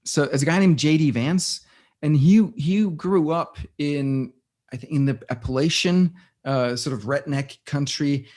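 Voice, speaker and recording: A man's voice: on the high side, measured pace, a little mellow. A man from Denmark, a guest in a casual interview, thinking aloud with many restarts. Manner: thinks aloud, with frequent restarts and searching for words.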